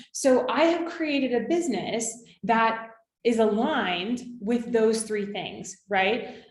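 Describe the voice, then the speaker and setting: A woman's voice: fairly high pitch, echoey room. A woman in her thirties from California, a business coach talking to her viewers in an encouraging way, often checking in with "okay?" and "right?". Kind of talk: coaching talk to camera.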